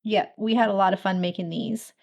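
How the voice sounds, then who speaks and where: A woman's voice: medium pitch, steady tone, brisk, creaky, a little mellow. A woman hosting a fan event, announcing casually in a chatty way and laughing often. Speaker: host of an online fan event.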